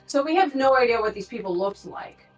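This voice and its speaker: A woman's voice: on the high side, animated, brisk, distant mic. A woman chatting casually as a player in a tabletop role-playing game. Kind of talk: casual chat. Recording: roomy, off-mic, faint music behind.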